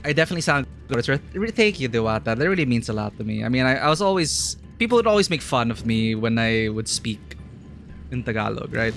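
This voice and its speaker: A man's voice: medium pitch, very animated, fast, creaky, fairly bright tone, soft background music. A man casually chatting while streaming a video game, laughing often and sometimes putting on mocking villain voices. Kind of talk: casual live-stream commentary.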